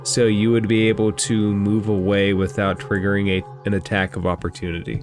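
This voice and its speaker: A man's voice: lowish voice, little pitch movement, brisk, soft background music. A man acting as game master, narrating and explaining rules in a conversational way during a tabletop role-playing game.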